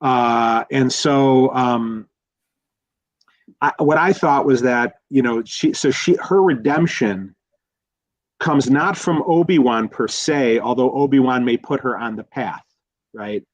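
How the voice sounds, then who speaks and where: A man in a casual analytical discussion, speaking haltingly and repeating words as he thinks aloud. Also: medium pitch, no room sound, rough recording. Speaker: guest expert.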